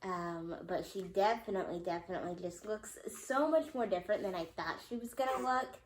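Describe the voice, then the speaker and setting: A woman's voice: mid-range voice, brisk, slight background noise. A young woman, a mother, giving a casual vlog update, a little congested and nasal, talking in a loose way full of ums and likes. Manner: chatty, lots of ums and likes. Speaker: vlogger. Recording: echoey room.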